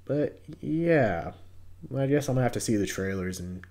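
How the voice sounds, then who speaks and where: Young american guy, medium pitch, chatting casually to camera about entertainment news, kinda slangy and hesitant. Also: animated, normal speed, darkish tone. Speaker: YouTube host reviewing entertainment news.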